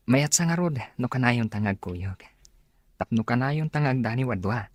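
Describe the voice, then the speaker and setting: A man's voice: medium pitch, expressive, unhurried, no echo. A man playing a kind, sincere suitor in a radio drama. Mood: earnest, tender. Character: kind, earnest male suitor, generous and sincere.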